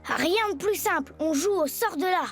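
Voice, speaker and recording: Very high-pitched young schoolboy, earnest. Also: female voice, moderate pace. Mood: scheming. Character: famous young schoolboy, earnest, resourceful, easily exasperated. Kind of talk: French animated series dubbing.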